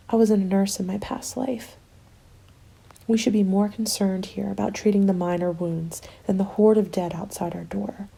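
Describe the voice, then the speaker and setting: A woman playing a starving, bitter former nurse surviving a zombie apocalypse, in a soft, hushed ASMR roleplay that drifts into whispers. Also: medium pitch, heavily acted. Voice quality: creaky, soft. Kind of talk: ASMR dramatic roleplay monologue. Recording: music under the voice. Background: music.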